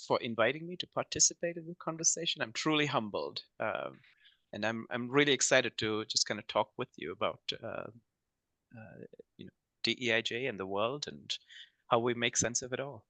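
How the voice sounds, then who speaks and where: A man's voice: medium pitch, expressive, moderate pace, bright, forceful tone, dry recording. A man in his forties from Sri Lanka, talking as a guest in a reflective, hesitant way. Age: 40s. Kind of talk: conversational interview.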